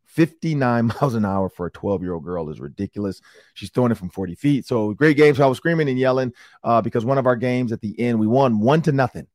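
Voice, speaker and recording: A middle-aged man with an Upper Midwest American accent hosts a sports talk podcast, his voice hoarse and raspy from yelling, and he tells stories in a rambling, excitable way. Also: medium pitch, fast talker, no echo, studio quality. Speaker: sports talk show host. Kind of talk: casual podcast chat.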